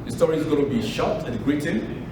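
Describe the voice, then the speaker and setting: A Sierra Leonean man tells a live story, in a slightly raised voice and an unhurried, pausing delivery. He sounds far off the mic, in a strongly echoing hall. Steady noise hums behind him. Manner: measured, pausing, drops low at phrase ends. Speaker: author at a book launch. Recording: echoey room from a distance with noise.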